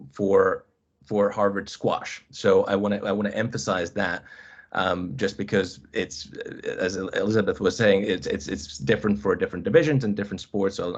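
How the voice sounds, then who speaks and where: Low-voiced man, hesitant, dull call audio. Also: slightly rough. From Finland. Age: 30s. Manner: hesitant, restarts phrases with many ums. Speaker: college squash coach, guest speaker. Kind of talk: webinar presentation. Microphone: video call.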